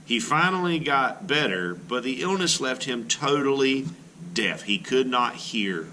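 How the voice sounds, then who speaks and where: A man's voice: mid-range voice, expressive, unhurried, pushed and bright, a little hiss or hum, poor audio. A man, an elementary art teacher, reads a picture book aloud to children with expression and breaks off now and then to add his own teacherly asides.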